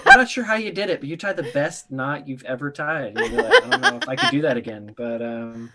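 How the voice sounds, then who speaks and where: A man's voice: fairly high pitch, wildly swooping pitch, a little fast, a crowd in the background. A man running a tabletop game as dungeon master, narrating with playful laughs.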